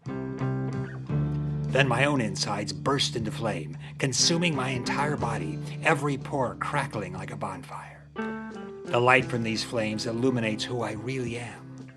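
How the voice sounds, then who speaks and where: A man playing a grandiose 1960s LSD guru and professor, speaking in theatrical, preacher-like oratory with dramatic pauses. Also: medium pitch, over loud music, close to an everyday voice. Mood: awed, mystical.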